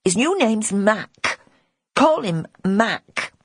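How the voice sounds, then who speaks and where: A woman voicing a motherly poor orphan girl with a Northern English accent, gentle but firm, in an audiobook. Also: mid-range voice, sing-song, extremely slow, husky, no echo, clean studio sound, pitched above the performer's own voice, heavily acted. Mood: protective, firm. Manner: coaxing, plain dialect talk. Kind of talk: audiobook character acting.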